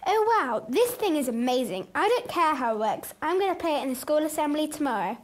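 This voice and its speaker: A woman's voice: high voice, big pitch swings, fairly quick, a little hiss or hum. A woman playing an eager, bossy schoolgirl who leads her friends in a radio play. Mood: excited, scheming.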